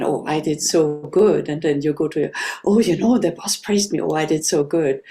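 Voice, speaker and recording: A woman from Austria hosting a relaxed spiritual discussion, speaking gently and laughing often. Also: fairly low pitch. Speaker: host.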